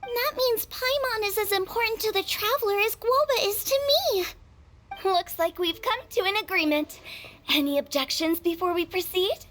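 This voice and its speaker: A woman's voice: very high-pitched, normal speed, a bit bright. A woman voicing a quirky, confident, chatty young woman in an anime game, playful and theatrical. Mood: playfully ceremonious.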